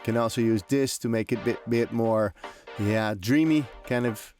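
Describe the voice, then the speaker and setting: A man's voice: medium pitch, fairly even intonation, measured pace. A man hosting a music production tutorial, explaining informally as he demonstrates, in loose, conversational and often hesitant phrasing. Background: electronic drum and synth loops.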